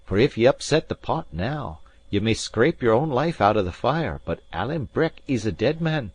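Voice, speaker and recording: A man voicing a proud, fierce Highland gentleman with a Scottish accent, gruff and blustering, in an audiobook reading. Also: lively intonation, moderate pace, natural voice. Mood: earnest.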